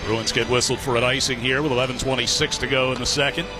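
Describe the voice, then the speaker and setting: A man's voice: medium pitch, steady tone, fast, music in the background, rough recording. A man doing live play-by-play commentary of an ice hockey game for radio. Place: sports arena broadcast position. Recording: live arena broadcast with loud background noise. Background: loud arena noise.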